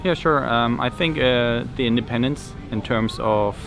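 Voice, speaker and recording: A man answering interview questions hesitantly, with many pauses. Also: medium pitch. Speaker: mastermind participant.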